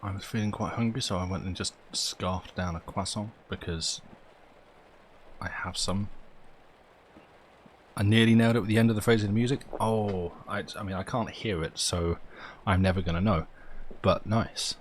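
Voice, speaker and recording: A man's voice: lowish voice, fairly even intonation, normal speed, long pauses, slight background noise. A man hosting a live stream, chatting casually in an informal, rambling way. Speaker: live stream host and audiobook reader. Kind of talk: casual livestream chat.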